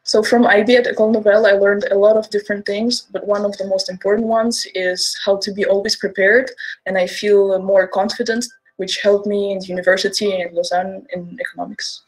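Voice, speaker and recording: Even-toned former student woman. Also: medium pitch, fairly quick, low-quality recording. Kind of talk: promotional video interview.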